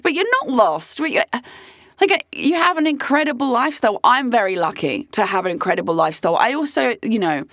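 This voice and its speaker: Hoarse woman, lively candid podcaster. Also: a little high, dry recording, studio quality. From United Kingdom. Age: late 30s. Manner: candid, emphatic, rambling. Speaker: podcast host. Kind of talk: casual monologue. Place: podcast studio.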